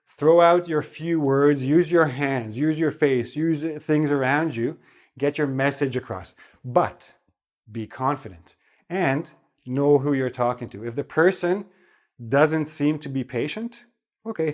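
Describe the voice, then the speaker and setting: Male English teacher, mid pitch, loose conversational delivery with frequent check-ins. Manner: relaxed, chatty, repeats "okay?" to check in. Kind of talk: spoken English lesson.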